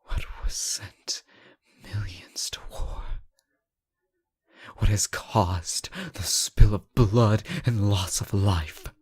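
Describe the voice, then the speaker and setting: A man playing a lovesick, anguished captive ruler in a fantasy romance audio drama, halting and often dropping toward a whisper. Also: lowish voice, fairly even intonation, a little mellow, breathy edge, full character voice. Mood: aching, intense. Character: captive reckless but benevolent male ruler, lovesick and anguished. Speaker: audio roleplay performer.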